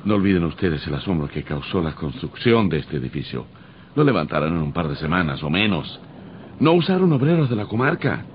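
A frightened, protective husband with a low voice that rises and falls sharply. He speaks earnestly and haltingly in vintage radio-play style. The recording is dull with faint noise. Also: big pitch swings. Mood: earnest, alarmed. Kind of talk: old radio drama acting.

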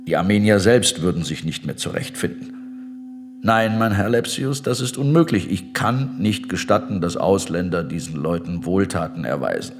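An actor in a radio drama playing an unyielding general, speaking with measured, condescending politeness. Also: male voice, deep voice, animated, noisy background. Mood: firm, condescending. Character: coldly courteous, unyielding Ottoman general in power. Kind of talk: German radio drama acting.

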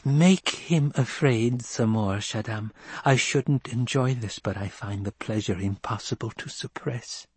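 A man voicing a defiant, coolly malicious little girl in an audiobook, calm and precise in manner. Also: medium pitch, a little slow, slightly rough, no echo, pitched above the performer's own voice. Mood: gleefully cruel. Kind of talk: dramatic audiobook character voice.

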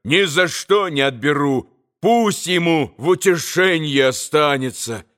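A man voicing an impetuous, excitable gentleman in a storybook reading, emphatic and defiant. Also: fairly high pitch, measured pace. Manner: emphatic, exclamatory.

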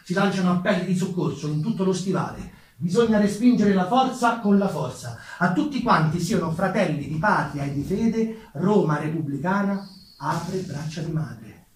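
A man's voice: high-pitched, lively intonation. A man delivering dramatic theatrical narration of history, declamatory and emphatic. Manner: declamatory, emphatic, measured pauses. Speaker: stage actor, narrator. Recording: roomy, distant stage sound with music.

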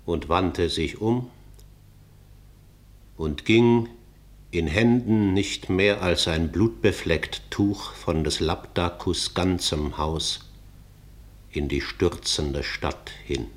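An actor playing a grave chorus elder who laments a doomed city in solemn, measured verse. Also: male voice, low-pitched. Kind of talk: tragic verse drama for radio.

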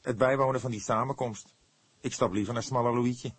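A man's voice: mid-range voice, fairly even intonation, a little fast, breathy edge, no room sound, voice pushed up, lightly acted. A man voicing a surly, scornful young police detective in an audiobook, snappish and incredulous. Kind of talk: audiobook character dialogue.

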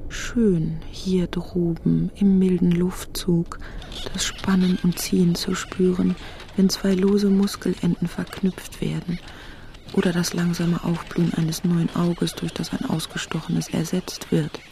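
A serene winged creature, very slow and full of creak. It savours its words in a mellow radio-drama monologue with faint ambience behind. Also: female voice, lowish voice, little pitch movement, a little hiss or hum. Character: winged self-healing creature resting at peace aloft.

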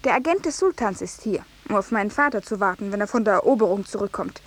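A woman's voice: mid-range voice, animated, quick pace, natural voice. An actress playing a young, coquettish but commanding lady in a 1950s German radio drama, coy and self-assured. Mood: conspiratorial. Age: young adult. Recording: vintage 1950s radio recording.

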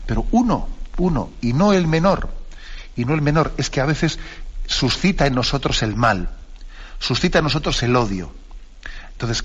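Earnest Spanish priest on the radio. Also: male voice, medium pitch. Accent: Spanish from Spain. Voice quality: many fillers and rhetorical tag questions. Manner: earnest, explanatory, insistent. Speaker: priest hosting a radio catechism program. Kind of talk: live radio teaching and answering callers. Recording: dull lo-fi radio broadcast.

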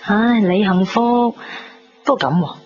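A woman's voice: mid-range voice, unhurried, darkish tone, a little hiss or hum, natural voice. A woman playing a bubbly, vain, chatty young woman with a Hong Kong accent in a 1990s radio drama. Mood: excited, teasing. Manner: chatty, dramatic, many exclamations and particles. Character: bubbly, vain young woman fretting over party outfit. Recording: muffled 1990s radio broadcast under music.

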